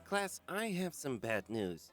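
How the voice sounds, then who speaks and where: A man playing an earnest, ponderous schoolteacher who speaks with measured, dramatic pauses in a visual novel game. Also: mid-range voice, very animated, a bit bright, soft background music. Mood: grave. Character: earnest, ponderous male schoolteacher addressing his class.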